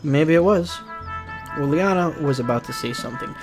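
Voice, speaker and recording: A man's voice: on the high side, normal speed. A man streaming a visual novel, reading it aloud expressively with casual asides. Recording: music behind.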